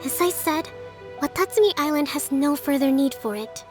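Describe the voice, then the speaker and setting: A woman voicing a composed, gracious young priestess leader in a video game, speaking in a measured, formal, explanatory way. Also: sits high, expressive, soft background music. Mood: calm, explaining. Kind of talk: dramatic video game acting.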